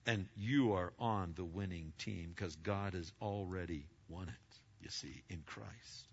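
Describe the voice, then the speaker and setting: A man's voice: low-pitched, measured pace, slightly rough. A middle-aged male pastor preaching a sermon to his congregation in a big, emphatic voice, with many stammered restarts. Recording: lo-fi recording.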